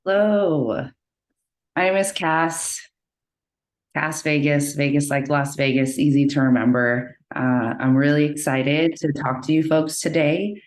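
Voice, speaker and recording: A man's voice: a little high, fairly even intonation, measured pace. An easygoing guest from Hawaii introduces himself in a friendly, upbeat way. His voice carries a noticeable room echo, as if he is speaking from a bare space on a call. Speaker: guest facilitator. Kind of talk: casual self-introduction. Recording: echoey room.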